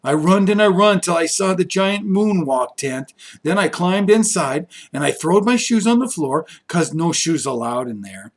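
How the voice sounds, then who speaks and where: Man, slightly high voice, children's read-aloud, expressive storyteller delivery. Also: moderate pace. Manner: expressive, character-voiced reading.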